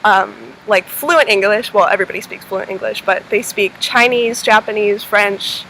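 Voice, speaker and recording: A woman's voice: mid-range voice, normal speed, pushed and bright, a little hiss or hum, rough recording. A sixteen-year-old girl from North Carolina chatting casually as an exchange student vlogger, easygoing and giggly with frequent fillers. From North Carolina, USA. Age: teens. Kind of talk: casual chat.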